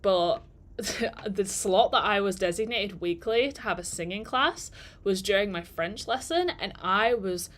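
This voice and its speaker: A woman's voice: mid-range voice, lively intonation, measured pace, a bit bright. Girl in her 20s just chatting on a work stream, wanders off topic, laughs a lot. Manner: chatty and rambling, sidetracks often, laughs between thoughts. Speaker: digital marketer vlogger. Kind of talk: casual storytelling during a live work stream.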